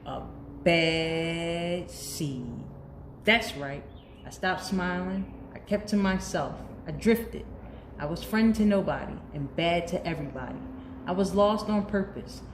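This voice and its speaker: A woman's voice: lowish voice, slow pace. A woman voicing a moody but earnest bad seed in a children's storybook, telling his story confessionally and dramatically. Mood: dramatic, brooding, then sullen, defiant. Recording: roomy echo, faint background noise.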